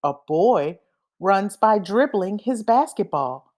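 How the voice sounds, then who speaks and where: A woman reads a children's storybook aloud at a very slow, careful pace. Her delivery is expressive and highly varied in pitch, with each phrase set apart deliberately for young listeners. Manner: deliberate, expressive, acts out sound effects. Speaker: read-aloud reader. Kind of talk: children's picture book read-aloud.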